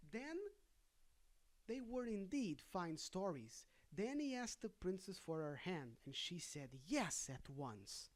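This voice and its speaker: Fairy tale reader, mid-pitched voice, casual delivery, wry asides. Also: sing-song, slow. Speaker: storybook reader and host.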